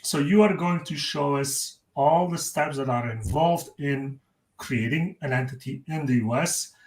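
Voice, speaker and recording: A man's voice: fairly high pitch, measured pace. A man from Belgium hosting a live webinar, introducing his guest in an upbeat, welcoming way. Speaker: webinar host. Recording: roomy echo.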